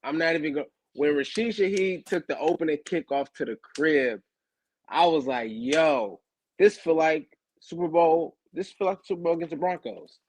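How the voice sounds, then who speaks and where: A man co-hosting a casual podcast as a former player, telling stories in an animated, slangy way and often repeating himself. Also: on the high side, normal speed, no room sound, poor audio. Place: home studio, video call. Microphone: video call setup.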